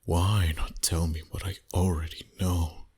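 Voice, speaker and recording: A man voicing a dominant, flirty vampire boss in an intimate ASMR roleplay, teasing slowly in a husky voice that often drops to a whisper. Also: low-pitched, flat delivery, darkish tone. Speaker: voice actor. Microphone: close microphone.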